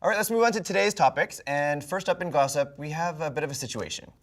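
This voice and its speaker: A man co-hosting a gossip show and leading the segments, with a dry, deadpan humor. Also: a little high, fast talker. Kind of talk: casual gossip chat.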